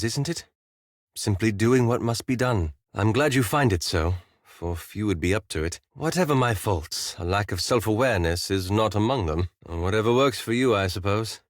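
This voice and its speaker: An actor voicing an earnest, scholarly male wizard in a video game, speaking in a formal, measured and thoughtful way with some hesitancy. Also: fairly low pitch, no echo, studio quality. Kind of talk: video game voice acting, dialogue lines.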